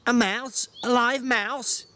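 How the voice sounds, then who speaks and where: A man voicing a small, irritable, bossy drifter in a story reading, scolding in sharp rustic slang, exasperated but protective. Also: extremely high pitch, fairly even intonation, slow, voice pushed up, exaggerated voice.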